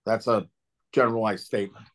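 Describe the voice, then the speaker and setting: A man's voice: on the low side, fairly even intonation, brisk. A man speaking as an agency official at a public meeting over a video call, explaining technical matters in plain, colloquial terms. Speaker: water and sewer agency official at a hearing.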